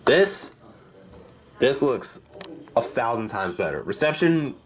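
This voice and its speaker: A man giving an unscripted, casual show-and-tell as a hobbyist video host, in a halting, rambling way with self-corrections. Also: medium pitch, very animated. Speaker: hobbyist video host reviewing his radio collection. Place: indoors in rooms at home. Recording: echoey room with faint background hiss.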